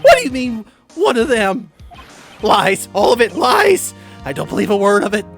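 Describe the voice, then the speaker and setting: A man's voice: high voice, wildly swooping pitch, moderate pace, gravelly, fairly bright tone, soft background music, full character voice. A man voicing a whiny, indignant young slacker on trial in a video game, with melodramatic, slangy delivery. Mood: defensive, indignant. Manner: melodramatic, slangy, dragging out words. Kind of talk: video game role-play.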